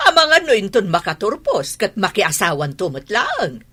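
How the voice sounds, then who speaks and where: A woman's voice: mid-range voice, big pitch swings, moderate pace, bright, forceful tone, slightly rough, heavily acted. A woman with a Filipino accent playing a vain, scolding village woman in an Ilocano comedy radio drama. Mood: belittling. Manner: scolding, haughty.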